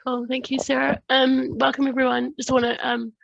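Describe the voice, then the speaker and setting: Woman, New Zealand accent, has a cold, very gravelly voice, hesitant with many ums, dry close sound. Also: high voice, little pitch movement, normal speed, a bit bright, dry recording, muffled recording. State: has a cold, losing her voice. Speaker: research fund presenter. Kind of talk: online workshop presentation.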